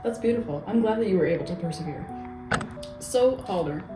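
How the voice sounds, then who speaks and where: A woman's voice: on the low side, animated, brisk, close to an everyday voice. A young woman playing a polite sports interviewer, presenting and asking questions from a script. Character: polite sports interviewer hosting a hockey team. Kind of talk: scripted mock interview hosting. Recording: echoey room, music behind.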